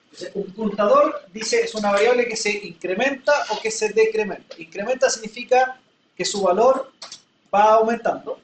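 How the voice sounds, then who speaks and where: A man's voice: high-pitched, slight background noise. A man teaching a class in a classroom, patiently explaining with everyday examples and often checking that students follow. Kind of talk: classroom lecture. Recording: echoey room, from far off.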